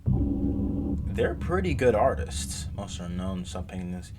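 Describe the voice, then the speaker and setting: A man's voice: sits low, expressive, slow pace. A man doing casual reaction commentary, reading text aloud and rambling with wry asides and self-corrections. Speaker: reaction video host. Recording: loud constant background noise.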